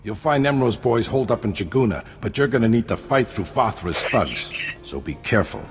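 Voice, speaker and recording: An actor playing a weary, streetwise ex-gangster who talks colloquially in a video game cutscene. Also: male voice, fairly low pitch, expressive, brisk, faint music behind, natural voice. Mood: urgent, grim. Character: weary ex-gangster man, cautious streetwise older brother.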